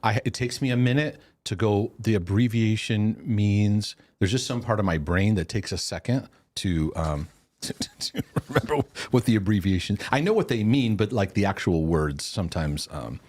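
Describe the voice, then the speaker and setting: A man's voice: lowish voice, expressive, moderate pace, a little mellow, no room sound. A middle-aged man hosting a live question-and-answer stream, congested and sneezing, talking in a rambling, self-interrupting way with laughs. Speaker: host.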